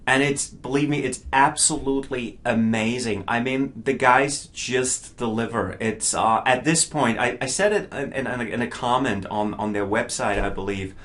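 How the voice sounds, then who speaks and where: Man, non-native English speaker, middle-aged, casual album review, eager, stumbles and repeats words, mid-pitched voice. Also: fairly bright tone. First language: not English.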